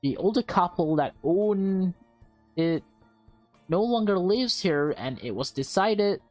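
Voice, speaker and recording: A woman's voice: fairly low pitch, animated, slow pace, a little mellow, dry recording, faint music behind. A woman voicing a courteous, eager female real estate agent in a visual novel, with polite sales patter. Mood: patient explaining.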